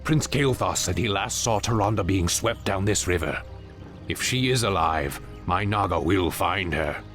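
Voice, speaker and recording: A deep, solemn fantasy outcast, proud and brooding yet earnest. He speaks with dramatic weight, in the manner of a game cutscene, over faint music. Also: lively intonation, measured pace, fairly bright tone. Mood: earnest, persuasive. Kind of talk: video game cutscene voice acting.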